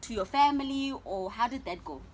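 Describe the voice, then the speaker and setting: A woman's voice: a little high, lively intonation, a little fast. Young woman co-hosting, lots of um and you know, faint background hiss. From Southern Africa. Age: young adult. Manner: hesitant, filler-heavy questions. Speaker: co-host interviewing her mother. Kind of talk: casual family interview.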